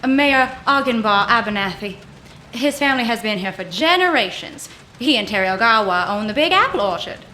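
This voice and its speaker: Bright, high-voiced plucky young heroine. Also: female voice, expressive, fairly quick, slight background noise. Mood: brisk, reassuring. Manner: no-nonsense, wry, commanding. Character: brisk, plucky young woman, exasperated and practical. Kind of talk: old-time radio adventure drama acting.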